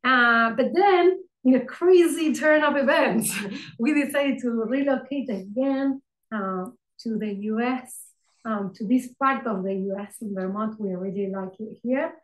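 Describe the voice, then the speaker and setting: A woman's voice: fairly high pitch, unhurried, echoey room, low-quality recording. A woman from Patagonia with a thick Argentine accent, a field ecologist giving a friendly, conversational research talk with frequent fillers. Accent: Argentine Spanish. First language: Spanish. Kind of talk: academic lecture with slides.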